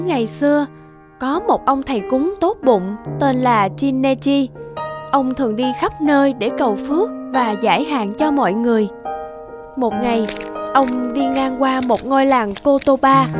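High-voiced woman narrating fairy tales. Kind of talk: scripted fairy-tale narration. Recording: music behind the voice.

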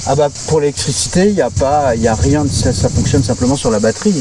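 A middle-aged man talks casually and practically, explaining as he goes. His voice sits at an ordinary pitch, picked up from a step or two away in the open air, with clear outdoor noise around him. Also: fast, far from the mic. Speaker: interviewee showing his camper van. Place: outdoors at the open back of a van in a grassy field. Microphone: handheld camera a step or two away.

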